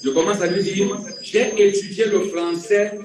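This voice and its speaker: A high-pitched man delivers a slow, deliberate sermon, restating his points for emphasis and rising and falling in force. His voice carries from a distance with heavy room echo. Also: little pitch movement. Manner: repeats key phrases for emphasis, swings between quiet and loud. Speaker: pastor and preacher. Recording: very echoey room, distant mic.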